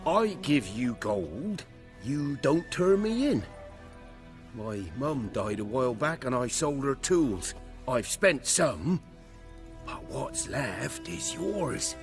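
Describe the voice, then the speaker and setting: A man's voice: medium pitch, very animated, takes it slowly, gravelly, exaggerated voice. A man playing a surly, foul-mouthed village troublemaker in a video game, coarse in manner. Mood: wheedling. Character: surly foul-mouthed male village arsonist, bigoted drunkard. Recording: music behind the voice.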